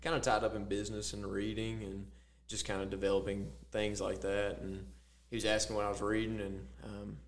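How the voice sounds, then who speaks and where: A man's voice: lowish voice, fairly quick, few pauses. Man telling stories with a faint hum behind. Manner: storytelling, animated quotes, filler-heavy. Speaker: podcast co-host. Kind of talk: casual faith podcast chat.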